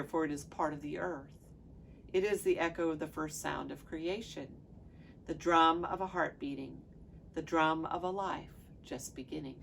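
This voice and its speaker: Lady pastor reading a devotional out loud, low voice w lots of fry, measured delivery, bit of background noise. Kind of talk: reading aloud from a devotional book.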